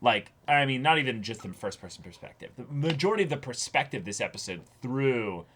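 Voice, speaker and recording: A man's voice: medium pitch, very animated, a little fast, a bit bright, a little hiss or hum. A man, around his thirties, chatting casually as a show co-host and repeating words emphatically. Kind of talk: casual discussion of an anime episode. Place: small indoor room with backdrop, seated.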